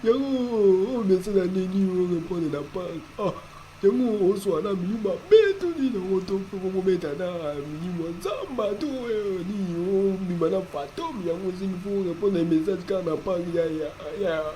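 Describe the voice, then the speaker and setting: A man voicing an excitable cartoon character, exclaiming and repeating interjections, on an amateur recording. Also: high-pitched, moderate pace, slight background noise, low-quality recording. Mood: excited, teasing.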